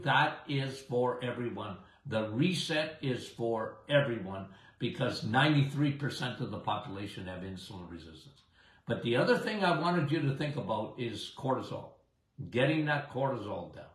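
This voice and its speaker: Slow chatty man with a big voice. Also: mid-range voice. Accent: Canadian. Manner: chatty, rambling, says "okay?" and "guys" often. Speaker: health show host. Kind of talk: casual live health talk. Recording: roomy echo.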